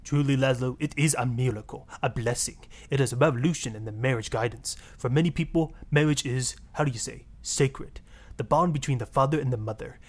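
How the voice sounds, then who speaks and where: A man's voice: medium pitch, brisk, close to an everyday voice. A man voicing a suave, sleazy marriage guru with a Spanish accent, florid, seductive and pompous, in a satirical game radio style. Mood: oily, reverent. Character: suave, sleazy Latin male marriage guru, grandly passionate.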